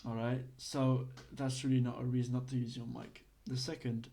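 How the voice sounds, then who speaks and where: A man's voice: mid-range voice, little pitch movement, moderate pace, vocal fry, mellow, slight background noise. A man from Belgium, giving a casual, hesitant lesson full of fillers and restarts. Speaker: instructor.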